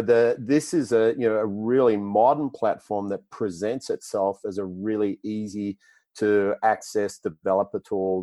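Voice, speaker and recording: A man's voice: lowish voice, darkish tone, no room sound. A man with an Australian accent, answering questions as a tech company executive, often restarting and repeating words. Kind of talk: podcast interview answers.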